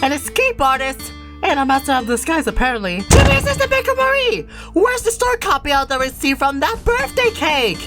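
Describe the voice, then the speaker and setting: A woman voicing a goofy, excitable alligator spy sidekick in a fan-dubbed cartoon, earnest and comically puzzled. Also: sits high, fairly quick. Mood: excited puzzlement. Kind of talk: fan dub cartoon acting. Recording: faint music behind.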